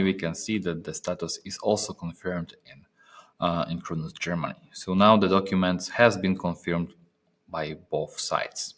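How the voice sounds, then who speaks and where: A man narrating a step-by-step software training demonstration, speaking haltingly with pauses in mid-phrase. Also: deep voice. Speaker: software trainer presenting a tutorial.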